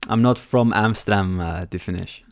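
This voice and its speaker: A young man reads out viewers' questions and answers them in a loose, offhand way. His voice sits fairly low and comes across smooth and clear. He is on a headset mic in a small room, and the sound is close and dry. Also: normal speed, no echo. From born in London. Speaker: trading livestream host. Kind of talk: casual live-stream Q&A.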